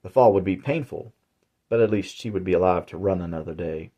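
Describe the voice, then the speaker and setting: A man narrating his own horror story in a measured, deliberate way. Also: sits low, husky, highs cut off. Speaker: author and narrator.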